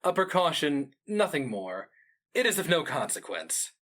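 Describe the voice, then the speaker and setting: A male narrator puts on a vain fantasy schemer for a storybook drama. He is measured and placating, with deference that is only feigned. The words come slowly, with a forceful, bright edge. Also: fairly high pitch, big pitch swings, voice pushed up, exaggerated voice.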